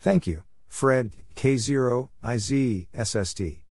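Slow, deliberate man reading practice exchanges. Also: medium pitch, a little mellow, dry recording, clean studio sound. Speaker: Morse code practice narrator. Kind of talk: scripted readout of radio exchanges.

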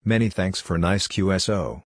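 A man reading short scripted practice phrases as a lesson narrator, in a measured, clearly enunciated way. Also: sits low, slight background noise. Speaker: Morse code practice narrator. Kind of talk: scripted phrase read-out for language drill.

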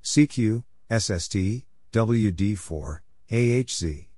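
Slow, low-voiced man reading call signs. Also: animated, dark tone. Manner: measured, clipped phrases with separated letters and words. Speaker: narrator of Morse code practice drills. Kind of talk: spoken readback of call signs and short radio exchanges, letter by letter.

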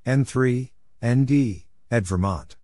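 A man reading out call signs and short radio exchanges for code practice in clipped, deliberate, separated phrases. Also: medium pitch, warm tone, no echo, clean studio sound. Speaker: Morse code practice narrator.

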